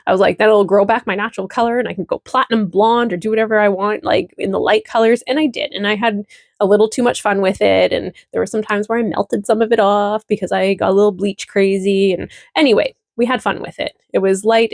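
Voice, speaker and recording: A woman's voice: mid-range voice, steady tone, brisk, vocal fry, dry recording, studio quality. A woman vlogger giving a casual personal update, chatty, informal and candid.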